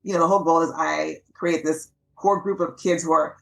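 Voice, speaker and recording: Woman doctor guest, chatty and quick, upbeat, from los angeles. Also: low-pitched, steady tone, creaky, a bit bright, low-quality recording. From Los Angeles, USA. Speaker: physician and author guest. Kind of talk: casual interview chat.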